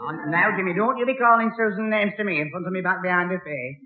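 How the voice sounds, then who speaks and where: A man's voice: high voice, quick pace, a little hiss or hum, close to an everyday voice. Dopey, stammering young boyfriend played by a man. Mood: muddled. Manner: flustered, stammering, muddled. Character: dopey, lovesick, soft-hearted young boyfriend. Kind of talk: 1960s radio sitcom acting. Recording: roomy, tinny old radio broadcast recording.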